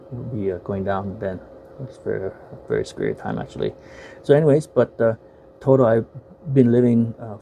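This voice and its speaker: Man, 40s, low gravelly voice, halting casual talk with fillers, faint noise. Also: dark tone. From Vietnam, raised in the United States. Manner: halting, many fillers and restarts. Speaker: vlogger reviewing films. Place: bedroom at home, indoors.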